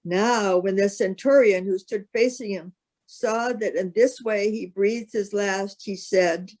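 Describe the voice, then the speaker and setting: A woman's voice: medium pitch, takes it slowly, darkish tone. Woman reading scripture as narrator. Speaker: scripture reader.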